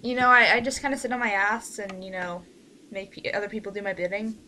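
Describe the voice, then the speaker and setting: A woman's voice: medium pitch, steady tone, quick pace, bright, forceful tone, a little hiss or hum, low-quality recording. A woman hosting a casual livestream over a voice call, informal and rambling, with a bossy streak. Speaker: host and leader of an amateur radio play group. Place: online voice call.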